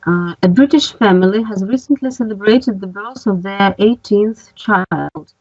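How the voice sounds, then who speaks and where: A woman's voice: mid-range voice, darkish tone, no room sound. Careful woman student in her thirties. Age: 30s. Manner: careful, slow reading. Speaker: adult student. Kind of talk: reading aloud in class. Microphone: video call. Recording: dull dry call audio.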